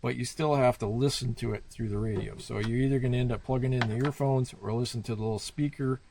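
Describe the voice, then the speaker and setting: Creaky-voiced man giving a casual review. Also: mid-range voice, steady tone, fast, a little mellow, a little hiss or hum. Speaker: product reviewer. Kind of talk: casual hands-on radio review.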